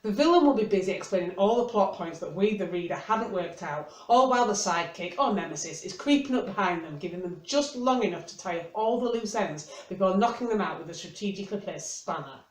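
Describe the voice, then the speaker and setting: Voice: mid-range voice, animated, brisk, few pauses. A book reviewer giving wry, scripted commentary in a dry, tongue-in-cheek manner. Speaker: book reviewer and video host. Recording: roomy, echoing sound.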